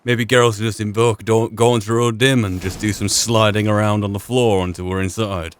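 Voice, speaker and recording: A man's voice: lowish voice, level tone, brisk, a little hiss or hum. A man doing wry, understated let's play commentary, sometimes narrating the game as a role-play. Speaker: gaming channel host.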